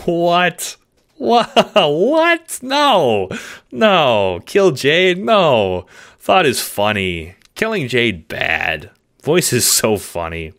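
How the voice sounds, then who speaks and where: Man playing an excitable, simple-minded dog, swooping pitch. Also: takes it slowly, vocal fry, exaggerated voice. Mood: baffled, amused. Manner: broken baby-talk sentences, thinking aloud with barks.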